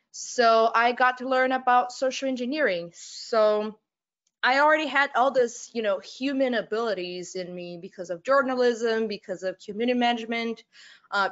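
A woman's voice: mid-range voice, fairly bright tone, dull audio. A young woman from Brazil with a Brazilian Portuguese accent gives a casual conference talk over a video call, with lots of fillers, restarts and laughs. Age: young adult. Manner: halting, many fillers and restarts, laughs often. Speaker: conference speaker. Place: home room, on video call.

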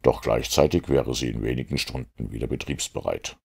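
Man voicing a very deep, gravelly shrewd detective. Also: darkish tone, exaggerated voice. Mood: methodical. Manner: deliberate, explanatory.

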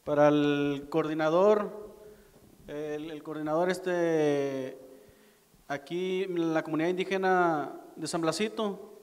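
A man's voice: a little high, fairly even intonation, takes it slowly, darkish tone. Man raising a request in an echoey hall. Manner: hesitant, with fillers. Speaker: community member at a consultation. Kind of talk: raising a request at a public meeting.

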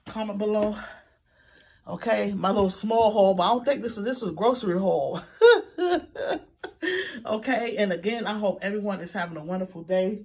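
A woman's voice: medium pitch, moderate pace, pauses often, a little mellow. A middle-aged woman chatting casually to her viewers in a home kitchen, with lots of fillers and "okay, guys" asides. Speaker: vlogger. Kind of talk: casual grocery haul vlog. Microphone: phone camera on counter, a few feet off. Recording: distant phone mic with background noise.

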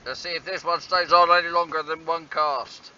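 Bloke mumbling wry asides while fishing, really bright sharp voice, muffled little camera mic. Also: male voice, a little high, steady tone, moderate pace, clear, resonant tone. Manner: wry, self-deprecating muttering. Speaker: angler vlogger. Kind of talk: casual self-talk while fishing. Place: outdoors on a windy stony harbour shore. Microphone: small handheld action camera. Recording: dull lo-fi camera audio with faint wind.

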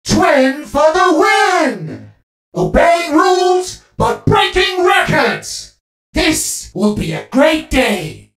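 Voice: extremely high pitch, huge pitch swings, extremely slow, fairly bright tone, echoey room, recorded from a distance, faint music behind, full character voice. An actor voicing a cheerful, officious robot ticket attendant who is eager and optimistic, for a video game. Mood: perky, bossy. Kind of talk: video game character voice lines.

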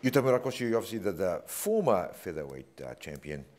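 A man's voice: deep voice, big pitch swings, fairly quick, a little mellow. A man doing casual live sports commentary in a jokey, conversational way. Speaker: fight commentator.

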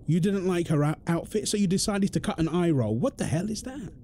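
A man's voice: sits high, expressive, fairly quick, warm tone, a little hiss or hum. A British man playing an exasperated, defensive husband in an audio roleplay, arguing in an insistent, repetitive way. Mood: heated, indignant. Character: exasperated, defensive husband and father quarrelling indignantly. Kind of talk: dramatic one-sided argument roleplay.

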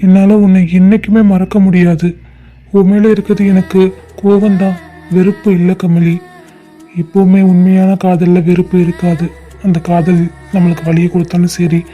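A man's voice: high voice, mellow, highs cut off, close to an everyday voice. A young man playing a heartbroken lover, speaking with a Tamil accent, pleading and crying. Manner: pleading, tearful and despairing. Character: lovesick young man, grieving and desperate. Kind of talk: short-film drama acting.

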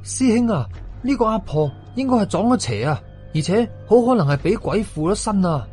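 A man's voice: high voice, very animated, normal speed, a little mellow, faint music behind, pitched above the performer's own voice. A man voicing a naive young male apprentice exorcist in an audio horror story, questioning and eager. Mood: alarmed.

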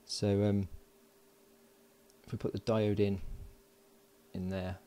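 A man giving casual hands-on commentary as an electronics hobbyist, informal and halting as he thinks aloud while working. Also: lowish voice, flat delivery, dark tone. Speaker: electronics hobbyist presenting a build. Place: indoors at a workbench.

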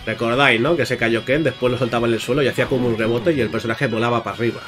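A man commentates a video game in a casual, chatty way, addressing his viewers as he plays. His voice sits at an average pitch, with playful exclamations thrown in. Music plays clearly behind him. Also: fairly even intonation, very fast, fairly bright tone. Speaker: gaming YouTuber. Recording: music under the voice.

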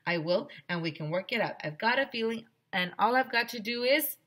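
A woman's voice: a little high, expressive, a little fast, fairly bright tone, voice pushed up. A woman voicing an eager grown man, a handyman to the rescue, in a children's storybook reading. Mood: urging, upbeat.

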